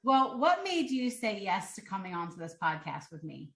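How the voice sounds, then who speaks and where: Female podcast host, casual interviewing, mid-pitched voice, noticeably echoey recording. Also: sing-song, moderate pace. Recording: roomy, echoey sound.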